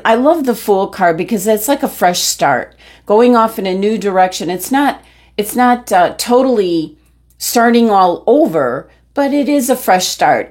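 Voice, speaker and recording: A woman giving a weekly tarot reading, losing her voice, with a gentle, meandering delivery full of pauses and ums. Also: mid-range voice. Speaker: tarot reader.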